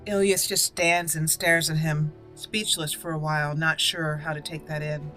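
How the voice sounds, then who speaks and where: A woman's voice: low voice, level tone, normal speed, fairly bright tone, soft background music, poor audio. A woman giving roleplay commentary as the host of a gaming channel.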